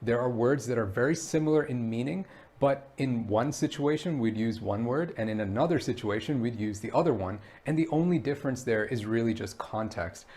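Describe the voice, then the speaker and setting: A man from Brunei, an English teacher, explaining his learning method to camera in a clear, steady way with rhetorical questions. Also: mid-range voice, a little fast. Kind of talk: direct-to-camera course introduction.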